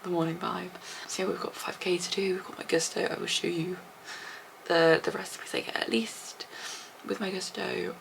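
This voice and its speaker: A young English woman vlogging casually to a handheld camera, chatty and rambling, her voice a little husky and deep, sometimes dropping to a soft whisper. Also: level tone, normal speed, a little hiss or hum. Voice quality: slightly deep, husky morning voice. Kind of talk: casual vlog chat to camera. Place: at home and outdoors after a run. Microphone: small handheld camera.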